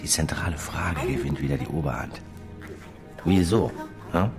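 A man acting a weary police detective in a radio drama, speaking in a terse, probing or halting, reflective way. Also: extremely low pitch, music in the background. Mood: brooding. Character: weary, brooding male police detective, questioning and musing.

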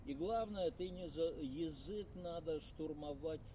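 A man's voice: on the high side, unhurried. An older man, a retired university lecturer, chatting casually and hesitantly with many filler sounds outdoors. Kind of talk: casual conversation. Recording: distant mic, loud outdoor noise.

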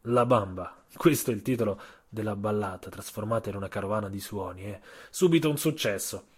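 A man with a slightly husky voice narrating a literary audio story for radio, measured, with dramatic pauses and emphasis. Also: fairly low pitch.

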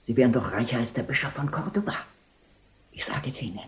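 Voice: medium pitch, lively intonation, quick pace, lightly acted. A performer playing a shrewd, stubborn, money-minded procuress in a radio play, haggling bluntly. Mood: sly, coaxing. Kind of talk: 1970 German radio play acting.

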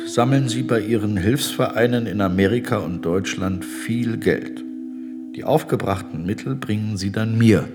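An actor in a radio drama playing an unyielding general, speaking with measured, condescending politeness. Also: male voice, sits low. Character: coldly courteous, unyielding Ottoman general in power. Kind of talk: German radio drama acting.

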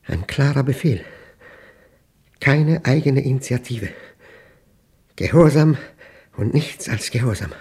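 An actor in a radio drama playing a paranoid, obsessed man who records his halting, fragmented, intense monologue as he battles a machine he believes controls him. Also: mid-range voice, lively intonation, a little mellow, breathy edge, heavily acted. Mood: grim, tense.

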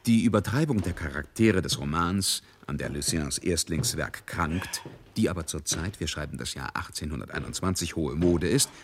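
A man's voice: a little hiss or hum, natural voice. A man narrating a literary radio drama in a scripted, storytelling style. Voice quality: husky, deep.

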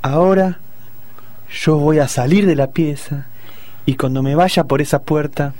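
Actor as slightly high-voiced, exasperated Argentine friend. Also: male voice, unhurried, a little mellow, no echo, lightly acted. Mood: firm, exasperated. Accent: Argentine, Rioplatense. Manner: chiding, teasing. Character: exasperated male friend, teasing, practical, concerned. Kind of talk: comic radio play acting.

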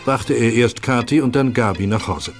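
A man's voice: medium pitch, normal speed, soft background music, close to an everyday voice. A man acting as the narrator of a youth detective audio drama, reading scripted story passages. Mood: measured.

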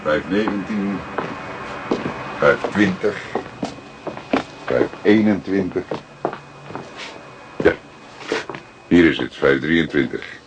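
A low-voiced middle-aged detective in a crime radio drama. He is calm, courteous and deliberate in how he talks. The recording carries clear noise and clicks. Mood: calm, intent.

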